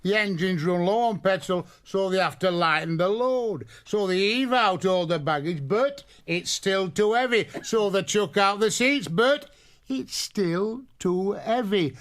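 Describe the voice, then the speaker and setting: An actor playing a blunt British village pub local who tells jokes, in a radio drama. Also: male voice, high voice, lively intonation, measured pace, a bit bright. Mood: jovial storytelling.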